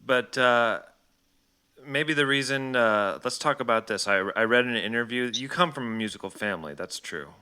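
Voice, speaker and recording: A man interviewing someone in a casual, joking way, with a mock-serious manner and halting phrasing as he forms his questions. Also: mid-range voice, pushed and bright, clear, resonant tone.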